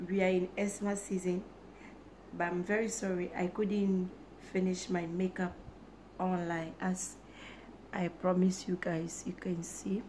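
Hoarse lady vlogging to camera in a small room, slow and chatty, a little hiss behind. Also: lowish voice, level tone, a little mellow, rough recording. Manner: chatty, repeats herself, slow and halting. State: hoarse, lost her voice. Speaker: YouTuber. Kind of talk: casual talk to camera.

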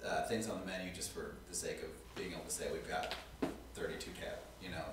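A middle-aged man, a restaurant co-owner, answering interview questions in a halting way with many fillers. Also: deep voice, steady tone, far from the mic, noisy background. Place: small studio on a couch. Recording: echoey room from off mic.

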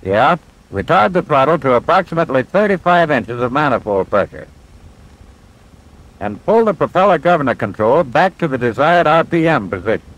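A military flight instructor from a 1940s training film. His voice sits fairly high and swings animatedly in pitch. He explains step by step with patient confidence, heard on a vintage film soundtrack. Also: sing-song, moderate pace, fairly bright tone, muffled recording. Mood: patient, instructive. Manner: clear, methodical instructional delivery.

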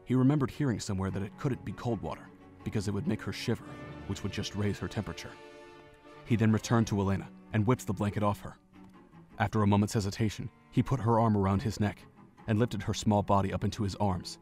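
A man narrating a fan audio drama in an even, measured reading voice. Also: fairly low pitch. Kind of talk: scripted story narration. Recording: home narration with faint music.

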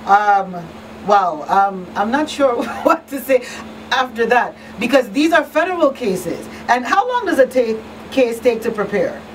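A woman's voice: a little high, expressive, unhurried. A middle-aged woman hosting a radio call-in show in a casual, informal way, thinking aloud as she asks questions. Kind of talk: casual, conversational interviewing. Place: small radio studio. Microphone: studio mic on boom arm. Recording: slightly distant mic, faint noise.

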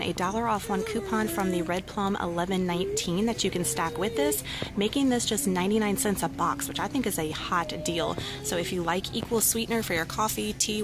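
A woman, chatting upbeat to viewers as she walks through store deals, then films from a parked car. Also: medium pitch. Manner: upbeat, talks straight to viewers with "y'all" and "guys". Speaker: couponing vlogger. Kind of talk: casual chatty deal walkthrough. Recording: noisy store and car background.

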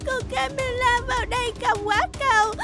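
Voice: very high voice, fairly even intonation, normal speed. A performer voicing a stubborn, sad little girl in a cartoon, pouting and tearful. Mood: tearful, accusing. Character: stubborn, grieving little girl who misses her mother. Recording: loud music under the voice. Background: background music.

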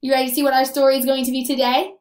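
A young woman teaching small children, with exaggerated enthusiasm, emphatic drawn-out words and frequent questions. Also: sits high, flat delivery, a little mellow. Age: young adult. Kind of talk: animated lesson for young children. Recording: roomy, echoing indoor recording.

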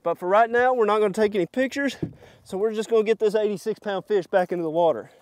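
A man's voice: sits high, quick pace. A man in his thirties giving hands-on instructions as a fishing guide, out on a boat. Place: outdoors on a boat on a lake. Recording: wind and boat noise outdoors.

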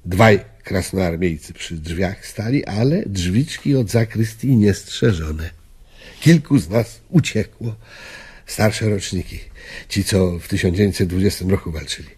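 Old polish army veteran in a radio play, deep husky voice, wistful halting storytelling. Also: male voice, darkish tone, heavily acted. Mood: grave, reminiscing. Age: older. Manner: halting, reflective storytelling in short phrases. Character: elderly former Polish army officer, wistful war veteran. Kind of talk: radio drama acting, reminiscing monologue.

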